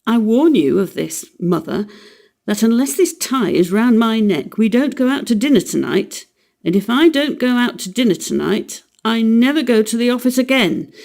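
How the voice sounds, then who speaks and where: A woman voicing a blustering, pompous middle-aged father who is loud and sarcastic. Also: a little high, creaky, voice pushed up. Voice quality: loud, exclaiming.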